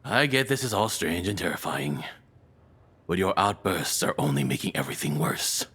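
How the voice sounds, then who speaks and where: A man playing a nervous, lovestruck kidnapper in an audio roleplay, apologetic and obsessive, speaking in halting, rambling stammers. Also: low voice, lively intonation, a bit bright, breathy edge, exaggerated voice. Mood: awkward explaining. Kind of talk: intimate dramatic audio roleplay monologue.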